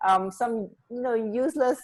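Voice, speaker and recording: A woman co-hosting a radio show in casual chat, halting and correcting herself as she goes. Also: on the high side. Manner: hesitant, halting, self-correcting. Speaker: radio co-host.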